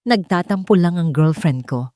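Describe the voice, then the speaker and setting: A woman's voice: lowish voice, animated, moderate pace, warm tone, no room sound, studio quality, close to an everyday voice. A woman voicing a curt, controlled, commanding wealthy man in a romance audio story. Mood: calm, controlled. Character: irritated, commanding, smooth-talking wealthy man.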